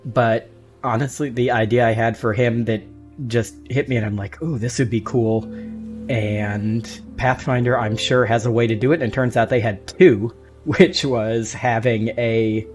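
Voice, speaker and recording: A man's voice: mid-range voice, fairly even intonation, a little slow, a little mellow, faint music behind. A man talking casually as a panelist on a podcast discussion among friends.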